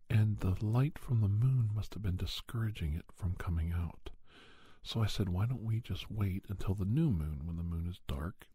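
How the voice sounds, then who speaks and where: A man playing a paranormal investigator who recounts his cases in soft, breathy, halting first-person ASMR narration. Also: low-pitched, dry recording. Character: male paranormal investigator doctor recounting monster cases, unhurried. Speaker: storyteller.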